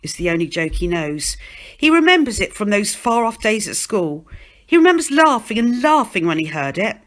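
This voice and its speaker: A woman reading a children's novel aloud as scripted narration, in an expressive storytelling manner. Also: medium pitch, moderate pace. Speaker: storybook reader.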